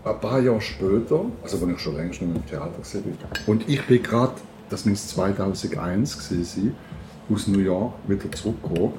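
Man from Switzerland, very low voice, casual reminiscing, interviewer, clear cutlery and dish clatter. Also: fairly quick, background noise. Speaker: interviewer and conversation partner. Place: at a table with food being served.

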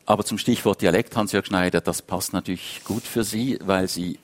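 A man's voice: low-pitched, steady tone, normal speed. A man with a Swiss accent moderating a live literary interview, speaking thoughtfully and correcting himself as he goes. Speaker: panel moderator.

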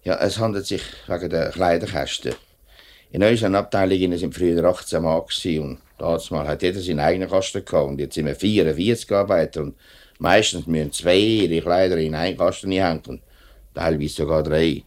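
A man acting as a factory worker in his late thirties with a low, deep voice and a Swiss German accent. He is dutiful and straightforward, speaking in a measured, polite but persistent way. The style is vintage 1950s radio drama. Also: darkish tone, natural voice. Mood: polite, firm. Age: late 30s. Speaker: actor in a radio play.

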